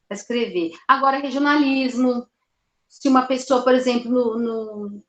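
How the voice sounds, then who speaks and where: Older woman author, roomy sound. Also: a little high. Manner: thoughtful, halting, restarts words, tags "né". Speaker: author, interview guest. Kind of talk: relaxed interview answers. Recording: roomy echo.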